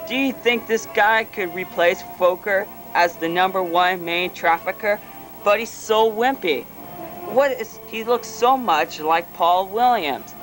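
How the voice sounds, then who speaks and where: A man's voice: high-pitched, unhurried, a bit bright, faint music behind, low-quality recording. A man voicing a blunt, gossipy masked terrorist boss in a fan parody redub of a cartoon. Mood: gossiping.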